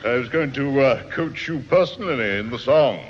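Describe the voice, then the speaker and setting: An actor playing a fussy, pompous, exasperated choirmaster in a 1960s radio comedy, speaking hesitantly and self-importantly. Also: male voice, mid-range voice, animated, moderate pace, lightly acted. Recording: echoing hall, muffled old radio, faint audience, hiss.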